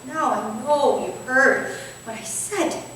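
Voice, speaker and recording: A woman's voice: sits high, slow, a bit bright. A woman playing a candid, modest Victorian vicar's wife in a staged play reading, wistful, hesitant and confiding. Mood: confessional, troubled. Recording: echoey hall from far off, faint noise.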